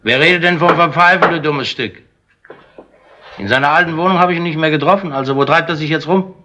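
Sardonic, commanding police inspector. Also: male voice, on the high side, a little fast, bright, forceful tone, a little hiss or hum. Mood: pressing, impatient. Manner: mocking, condescending, commanding. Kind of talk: 1960s German crime radio play acting.

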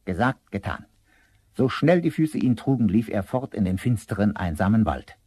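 A man's voice: fairly low pitch, big pitch swings, normal speed, warm tone, husky. A man narrating a fairy tale as a scripted storyteller for a radio play.

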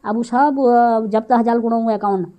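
Cartoon role for a woman: exasperated, gullible rural mother, Kumaoni accent, grumbling delivery, fairly high pitch. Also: fairly even intonation, moderate pace, lo-fi sound, natural voice. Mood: anxious, insistent. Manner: grumbling, rustic. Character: gullible, exasperated village mother of a grown son. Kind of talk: comic cartoon dialogue.

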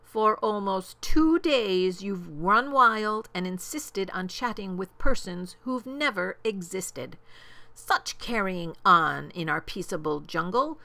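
A woman's voice: mid-range voice, expressive, slow, vocal fry, bright, forceful tone, exaggerated voice. A woman voicing a big, bossy kangaroo in a children's storybook, snapping and scolding with haughty scorn.

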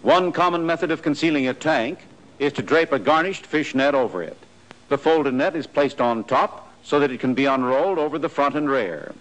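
A man giving scripted, measured instructional narration for a 1940s army training film. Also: a little high, a bit bright, low-quality recording.

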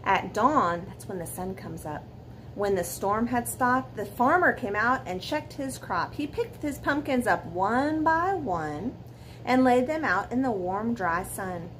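High-voiced woman reading a bedtime story, noisy recording. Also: a little slow. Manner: expressive, dramatic emphasis with questions to young listeners. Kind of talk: read-aloud children's bedtime story with asides. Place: living room at home beside a fireplace. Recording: clear background noise, room ambience.

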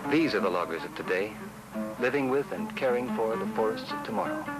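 Male documentary narrator, scripted delivery, lowish pitch, old film soundtrack, prominent music. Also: animated, brisk, low-quality recording.

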